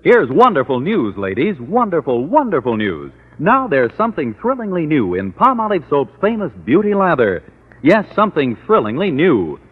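An American man announcing in old-time radio style with an emphatic sales pitch. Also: wildly swooping pitch, moderate pace, husky. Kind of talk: 1940s-50s radio announcing. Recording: muffled old radio recording.

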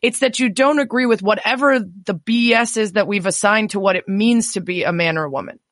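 A woman podcast host with a low voice, chatting in an engaged way and sharing her own experiences with her guest. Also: brisk, fairly bright tone, no room sound, studio quality. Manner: chatty, engaged, asks questions. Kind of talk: casual interview conversation.

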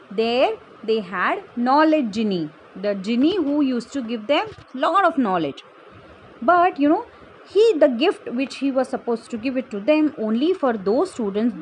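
High-pitched woman telling children's stories. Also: slight background noise, rough recording. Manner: addresses children directly with questions and asides. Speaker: children's storyteller and host. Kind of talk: bedtime story narration for kids.

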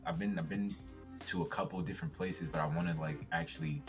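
Young man, very deep voice, relaxed, reflective, podcast guest, music in background. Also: fast. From United States, East Coast. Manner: relaxed, reflective, laughs often. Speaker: podcast guest, TikTok creator. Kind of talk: casual podcast chat.

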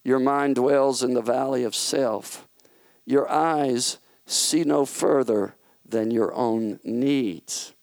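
A man voicing a majestic, loving yet stern male God who speaks in slow, solemn proclamations. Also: medium pitch, darkish tone, dry recording. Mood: commanding.

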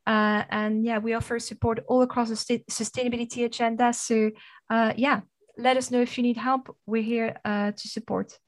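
A woman from Belgium with a French accent, speaking as a guest in an interview, measured and with frequent fillers. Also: on the high side, flat delivery. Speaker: guest, sustainability consultant. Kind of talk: conversational interview.

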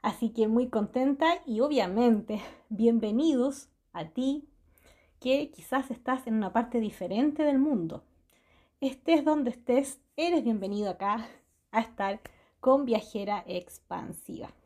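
A woman's voice: a little high, expressive, unhurried, dark tone. A Chilean woman hosting a radio program, talking in a cheerful, spiritual monologue that she fills with tag questions and laughter. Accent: Chilean Spanish. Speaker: radio host. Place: small house in a hillside forest.

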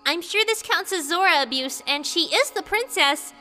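A tiny fairy companion, voiced by a woman narrator, high and bright. She is bossy and indignant, always ready to scold, in a storybook reading with light reverb. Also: animated, moderate pace, faint music behind, heavily acted. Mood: concerned disapproval.

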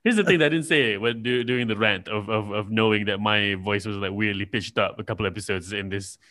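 A man's voice: fairly low pitch, brisk, sharp tone. A man co-hosting a podcast over a call, teasing his partner with mock exasperation in casual chat. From Malaysia. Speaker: podcast co-host.

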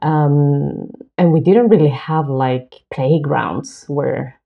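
A woman, talking as an interview guest in a relaxed, thoughtful way with soft laughs. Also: sits low, slow, vocal fry, narrow, tinny audio. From southern Chile. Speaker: guest, lighting designer. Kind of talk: casual interview talk. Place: home.

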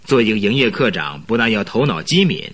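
An actor playing a hearty, scheming company director in a radio drama, with a robust husky voice and a patronizing, persuasive, chuckling manner. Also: male voice, on the low side, big pitch swings, a little fast, a bit bright. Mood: jovial, persuasive. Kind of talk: Mandarin radio drama acting.

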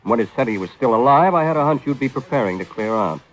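An American actor playing a cool, sardonic, teasing private detective in a vintage 1940s radio drama. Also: male voice, mid-range voice, animated, fast talker, natural voice, rough recording. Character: wisecracking, cool-headed male private detective, flirtatious with women.

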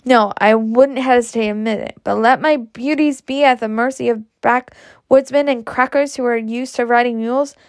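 Woman reading as blunt, opinionated Southern matron, high-voiced. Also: steady tone, moderate pace, no echo, studio quality, close to an everyday voice. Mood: indignant, stubborn. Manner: emphatic, talkative. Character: blunt, opinionated Southern horse-breeding matron, stubborn and boastful.

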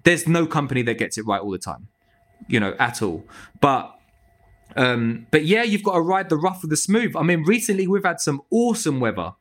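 A man's voice: a little high, normal speed. A man, a tree surgery business owner, chatting casually on a podcast with restarts and swearing. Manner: animated, swears freely, lots of restarts.

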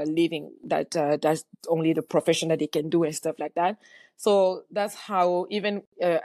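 Woman, middle-aged guest, slightly low pitch, stop-start phrasing, dry close sound. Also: a little mellow, dry recording. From Cameroon. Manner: halting, many fillers and restarts. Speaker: guest entrepreneur. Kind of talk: conversational interview answer.

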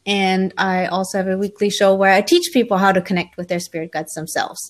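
Woman in her forties, German-raised guest author, calm mid-pitched storyteller pausing often. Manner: relaxed, thoughtful, many ums, light laughs. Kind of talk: conversational interview storytelling.

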